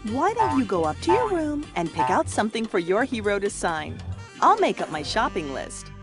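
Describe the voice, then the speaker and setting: A patient, indulgent mom played by a woman with a mid-range voice and hugely varied intonation. She sounds like an easygoing cartoon parent in a children's game, with music clearly behind her. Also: mid-range voice, moderate pace, close to an everyday voice. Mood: calming, agreeable. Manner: calming, indulgent. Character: patient, easygoing mother of a little boy. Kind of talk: animated children's game acting.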